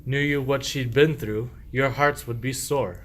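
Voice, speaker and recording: A man acts a sly sheep thief in an old verse radio play. He speaks slowly, in archaic rhyme, putting on the airs of an innocent, hospitable husband. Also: medium pitch, steady tone, fairly bright tone, close to an everyday voice. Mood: pleading innocence.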